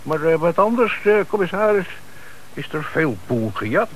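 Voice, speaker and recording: A man's voice: on the high side, sing-song, moderate pace. An actor in a Dutch radio play playing a shifty small-time crook who deals in cars, speaking hesitant, slangy street talk and hedging his answers. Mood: angling, sly. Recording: noisy old radio play recording.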